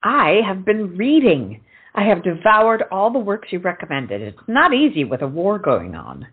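An actress playing a bawdy, teasing young confidante with a knowing manner in a stage comedy. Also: female voice, medium pitch, big pitch swings, normal speed, breathy edge, narrow, tinny audio. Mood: defensive, chatty. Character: Elizabeth, cheeky, bawdy young lady confidante.

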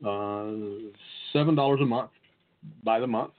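A man's voice: fairly low pitch, measured pace. A man with a Southern US accent chatting casually as a podcast co-host, sharing tech tips. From Southern United States. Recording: phone-like, narrow-band audio.

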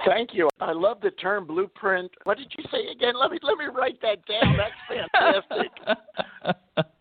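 A man, a little hoarse, chatting as a guest on a radio interview, laughing often and using many fillers. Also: high voice, a little slow, no room sound. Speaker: guest author. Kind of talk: casual interview talk. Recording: tinny phone line.

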